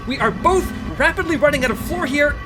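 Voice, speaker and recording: A man's voice: extremely high pitch, fairly quick, loud music behind the voice. An actor playing an earnest, anxious male secret agent with an urgent, hesitant manner in an audio comedy. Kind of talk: audio comedy drama acting.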